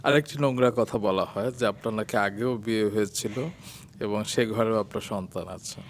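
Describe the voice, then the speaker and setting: A man's voice: steady tone, normal speed. A man working as an interviewer, putting questions in a conversational way. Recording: noisy recording with low rumble.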